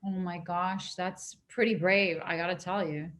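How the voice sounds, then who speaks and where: A woman's voice: on the low side, steady tone, unhurried. Woman hosting a podcast, asking encouraging questions. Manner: warm, encouraging reactions and questions. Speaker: podcast host. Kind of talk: casual interview.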